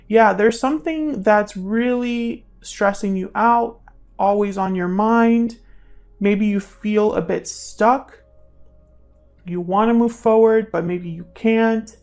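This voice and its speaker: A woman hosts a casual tarot reading in a medium-pitched voice with a good deal of creak. She speaks in an intuitive, musing way, as if thinking aloud, and can drift toward a murmur. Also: a little mellow. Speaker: tarot reader, channel host.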